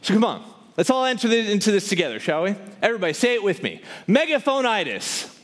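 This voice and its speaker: Guy doing slam poetry live, kinda high voice, really dramatic and rhythmic, big echoey hall. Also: very animated, quick pace. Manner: impassioned, emphatic, rhythmic rhyming delivery. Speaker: spoken word poet. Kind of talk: theatrical spoken word performance to a live audience. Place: large hall, live before an audience. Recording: reverberant hall, live stage performance.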